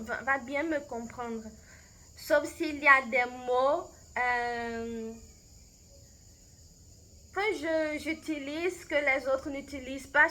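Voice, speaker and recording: Beninese French woman, language coach type; high, bright voice, slow and reflective, lots of hesitations and restarts. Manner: thoughtful, unhurried, with frequent hesitations and restarts. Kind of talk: answering interview questions to camera.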